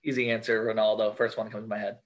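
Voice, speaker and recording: An American man chatting casually as a podcast guest, talking haltingly and often repeating and rephrasing his phrases. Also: fairly low pitch, level tone. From United States. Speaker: podcast guest, sports card collector. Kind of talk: casual interview chat.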